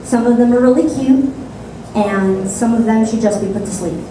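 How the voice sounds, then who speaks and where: A woman doing a stand-up comedy set on a club stage, with deadpan, sarcastic asides. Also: fairly high pitch, moderate pace, background noise. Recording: echoey club sound from a distant mic.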